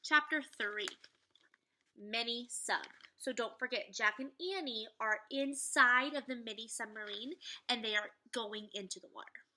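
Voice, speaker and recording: Very bright, high-voiced woman teaching young children. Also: unhurried. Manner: explanatory, addressing pupils directly. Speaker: second grade teacher. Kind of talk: children's read-aloud lesson.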